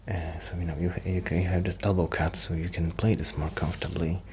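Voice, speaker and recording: A man speaking softly in a breathy, near-whispered voice, rambling shyly and hesitantly in an ASMR roleplay. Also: deep voice, level tone, a little fast. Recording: noticeable background noise.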